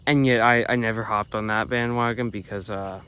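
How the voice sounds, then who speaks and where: A man's voice: fairly low pitch, steady tone, brisk, pushed and bright, a little hiss or hum. A man hosting a reaction video in casual, rambling commentary full of filler "uh"s.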